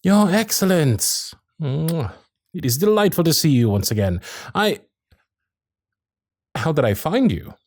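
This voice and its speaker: Victorian gentleman suitor, male actor, genteel and flirtatious period diction, lively intonation, intimate dry sound for romantic audio drama. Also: on the high side, measured pace, pauses often, dry recording, full character voice. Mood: charming, eager. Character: courtly, infatuated Victorian gentleman suitor, protective and witty. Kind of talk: ASMR romantic roleplay, period piece acting. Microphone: close studio microphone.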